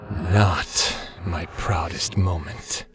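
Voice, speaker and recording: A deep-voiced ancient demon, bent on ending the world. He speaks slowly and grandly, ominous yet drily self-mocking, like a fantasy audio drama villain, with faint music underneath. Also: steady tone. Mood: dry, sly. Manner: grandiose, ominous, drawn-out delivery. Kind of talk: fantasy audio roleplay acting.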